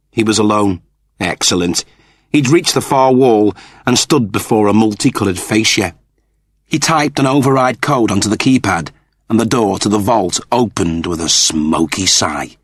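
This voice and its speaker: A man's voice: fairly low pitch, fairly bright tone, breathy edge, no echo, clean studio sound. A man narrating a story as a scripted, dramatic audiobook reading.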